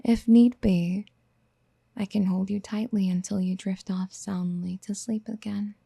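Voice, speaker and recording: Casting: actress as obsessive motherly yandere for ASMR roleplay, very mellow and breathy, slow whispered coaxing, tender and possessive. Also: mid-range voice, fairly even intonation, no echo. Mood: tender, protective. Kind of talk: soft-spoken ASMR roleplay acting. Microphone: close mic.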